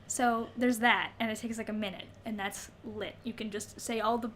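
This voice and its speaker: A woman's voice: on the high side, fairly even intonation, moderate pace, fairly bright tone, slight background noise. A young adult woman co-hosting a podcast in casual comedic chat, playful and slangy, given to exaggeration and repeating words for emphasis. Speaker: podcast co-host.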